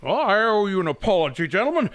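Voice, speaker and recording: An actor playing a prim professor who feigns innocence, speaking in a fussy, formal, pompous manner. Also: male voice, high-pitched, sing-song, quick pace, fairly bright tone. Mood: apologetic, prim. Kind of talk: old detective film radio dramatisation.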